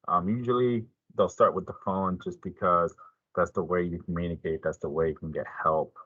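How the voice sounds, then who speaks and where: A man's voice: low voice, fairly even intonation, moderate pace, pushed and bright, slightly rough, no echo, muffled recording. A man giving an informational presentation as a police detective, explaining things in a plain, informal way.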